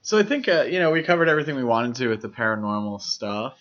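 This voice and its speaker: A man co-hosting a podcast, discussing films casually with measured, analytical phrasing. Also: mid-range voice, sing-song, fairly bright tone. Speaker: podcast co-host. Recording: dull lo-fi microphone sound.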